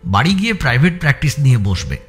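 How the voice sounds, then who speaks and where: A man's voice: mid-range voice, very animated, racing, husky, soft background music. A man playing an earnest, grumbling young sidekick in an audio drama, plaintive and candid. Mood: earnest, attached. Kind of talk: dramatised audio story acting.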